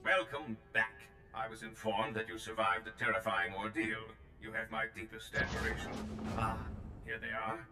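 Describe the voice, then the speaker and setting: A man plays a courteous, dignified official in a space game. His low voice is formal and extremely even. It echoes beneath loud music. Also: moderate pace, lightly acted. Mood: respectful. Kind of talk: dramatic science-fiction video game acting. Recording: echoing room, loud music behind.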